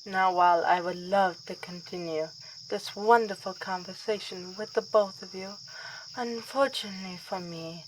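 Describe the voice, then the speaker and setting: A woman's voice: mid-range voice, fairly even intonation, moderate pace, slight background noise, close to an everyday voice, poor audio. A woman playing a refined, wry vampire princess in an ASMR roleplay, with dry and politely formal delivery. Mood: playful, gracious.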